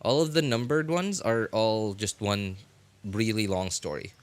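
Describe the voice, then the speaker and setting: A man streaming a game live, chatting casually and haltingly as he thinks aloud. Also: on the low side, a little hiss or hum. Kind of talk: casual rambling livestream chat. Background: music.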